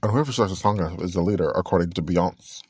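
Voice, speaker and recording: A man's voice: sits low, quick pace, a little mellow, breathy edge. A man in his twenties hosting a casual solo podcast, chatty and rambling, correcting himself as he goes and breaking into snatches of songs. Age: 20s. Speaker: podcast host.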